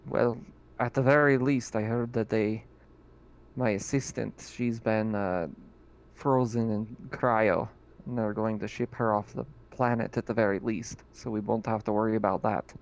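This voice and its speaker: A man running a tabletop roleplaying game as game master, describing scenes in a relaxed way with many fillers. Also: on the low side, normal speed.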